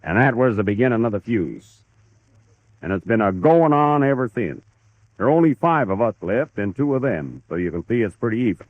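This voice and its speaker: A man's voice: medium pitch, very animated, a little fast, no room sound, phone-quality sound. A man playing a cantankerous, feuding old hillbilly father with a folksy Kentucky drawl in a 1930s radio comedy. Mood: stubborn, grudging. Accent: put-on rural Kentucky hillbilly. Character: cantankerous old hillbilly pappy nursing a family feud. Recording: thin, band-limited 1930s radio recording.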